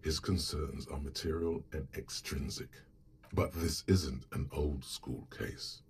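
An exceptionally low voice serves as the inner narrator of a detective game. The delivery is measured and literary, with a grave, ornate way of describing everything in second person. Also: male voice, fairly even intonation, gravelly, breathy edge, heavily acted. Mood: dry, brooding.

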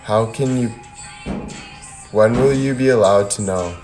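A man's voice: on the low side, unhurried, a little mellow, soft background music. A laid-back young man in casual, slangy livestream chat, speaking into a phone indoors. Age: young adult. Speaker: livestream host. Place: indoor room by a window. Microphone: phone at arm's length. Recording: phone livestream with background noise.

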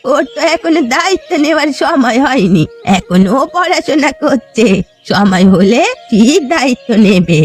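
A woman's voice: sits high, big pitch swings, normal speed, soft background music. A woman voicing a poor, sorrowful old fisherwoman in a cartoon folk tale, plaintive and resigned.

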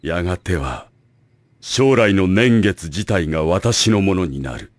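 An actor playing a scheming, arrogant academy director in an anime audio drama, speaking in a smug, measured, formal way. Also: male voice, low-pitched, lively intonation, no echo. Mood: grandiose.

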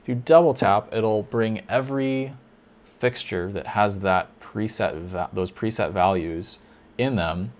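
A man teaching a lighting console in a casual tutorial, thinking aloud with fillers, a little hoarse. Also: on the low side, unhurried. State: slightly hoarse, losing his voice. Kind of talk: casual screen-recorded tutorial.

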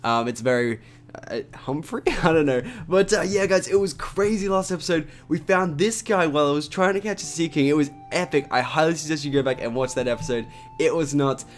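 Young Australian guy commentating a game, raspy morning voice, rambling and chatty, faint guitar music behind. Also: fairly high pitch, a little fast. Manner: chatty, rambling with many "like" and "um" fillers. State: raspy from just waking up. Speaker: gaming YouTuber. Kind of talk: casual gameplay commentary.

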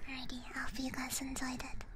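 A young woman streaming ASMR, chatting casually to viewers in a soft, breathy, gentle whisper, close to a binaural microphone. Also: sits high, completely flat delivery, fast, very noisy. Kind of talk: whispered ASMR livestream chat.

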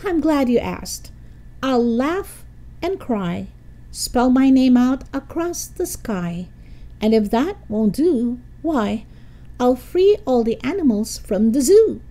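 Woman as a manic, high-pitched eccentric schoolboy. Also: big pitch swings, takes it slowly, mellow, exaggerated voice. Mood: grandiose. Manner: declaiming, theatrical. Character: manic eccentric little schoolboy, gleeful and theatrical.

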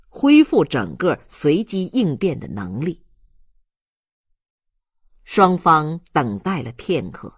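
Slow, expressive male audiobook narrator, husky. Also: fairly high pitch, dry recording, clean studio sound. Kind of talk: dramatic scripted audiobook narration.

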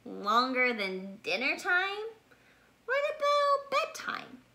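Woman playing an earnest little bear cub, lively pitch. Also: takes it slowly, full character voice. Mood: impatient, curious. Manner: firm, self-important, childlike.

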